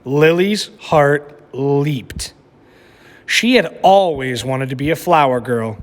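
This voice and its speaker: Slow, expressive male read-aloud storyteller. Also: fairly high pitch, in a large hall. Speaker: teacher reading aloud.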